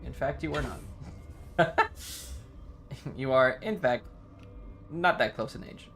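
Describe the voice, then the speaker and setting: A man's voice: a little high, lively intonation, slow, a bit bright, faint music behind. A man giving casual live game commentary and chatting with viewers in a playful, dramatic, sweary way. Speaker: livestreamer. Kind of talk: casual game stream commentary, reacting to chat.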